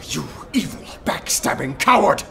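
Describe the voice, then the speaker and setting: An actor playing a frantic, outraged anarchist who feels betrayed, overwrought and emphatic in a radio play. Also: male voice, on the high side, big pitch swings, a little slow, vocal fry, noisy background, heavily acted. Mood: bitter outrage. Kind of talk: theatrical radio drama acting.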